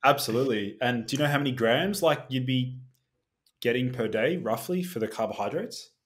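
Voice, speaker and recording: An Australian-accented man appears as a guest on a podcast, chatting in a relaxed, easygoing way. He uses casual fillers and jokes lightly. His voice has an ordinary pitch for a man. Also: a little fast. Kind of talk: casual interview chat.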